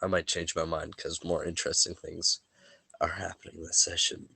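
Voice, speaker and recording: A laid-back man with a low voice runs a tabletop game session. He sounds sniffly, and his voice is a bit worn from allergies. It's recorded close and dry, with no room around it. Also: level tone, moderate pace, vocal fry, sharp tone, breathy edge, no echo. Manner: laid-back, joking, stumbles and restarts. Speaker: game master. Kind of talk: casual chat and recap narration for a tabletop game.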